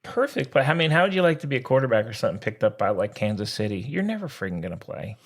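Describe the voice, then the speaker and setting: Lively, folksy man on a sports podcast. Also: mid-range voice, fast talker. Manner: folksy, jokey, slangy exclamations. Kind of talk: casual sports chat, joking banter.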